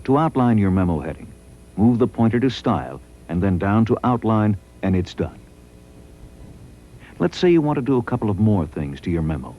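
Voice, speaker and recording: A man reading scripted promotional training narration in a measured, instructional way. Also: on the low side, big pitch swings, dark tone, a little hiss or hum.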